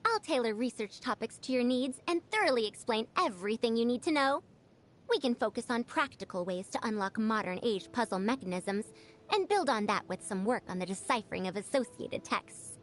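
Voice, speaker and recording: An actress playing a proud, bossy ancient scholar who sounds youthful, lecturing theatrically as a video game character. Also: female voice, a little high, animated, fairly quick, slight background noise, close to an everyday voice.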